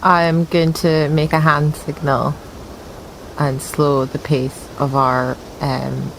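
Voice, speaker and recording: A woman's voice: low voice, little pitch movement, slow pace, a little hiss or hum, rough recording. A woman chatting casually as a player in a tabletop roleplaying game.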